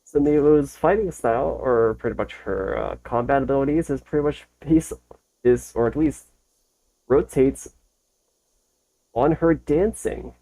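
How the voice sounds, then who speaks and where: Creaky-voiced, excitable reaction host. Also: mid-range voice, unhurried, long pauses, poor audio. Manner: excitable, gushing fan talk. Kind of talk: casual fan reaction commentary.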